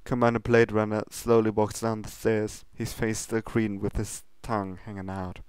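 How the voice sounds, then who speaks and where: An amateur storyteller reads fan fiction narration. The voice drops lower and quieter than in the dialogue. The recording is dry, with no room sound. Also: little pitch movement, normal speed, clear, resonant tone, dry recording.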